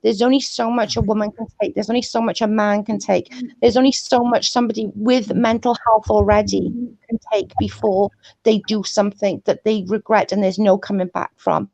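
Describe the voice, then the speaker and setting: A woman's voice: mid-range voice, steady tone, normal speed, creaky, dark tone, dry recording, rough recording. A woman who stutters, talking earnestly and pleadingly over a video call. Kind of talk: heated casual chat.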